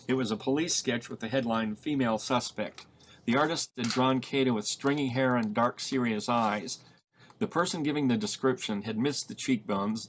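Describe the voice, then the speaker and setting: A man's voice: mid-range voice, a little hiss or hum, low-quality recording. Male author-narrator, mid-range voice, reading his own novel as a dramatic story. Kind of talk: dramatic audiobook-style reading.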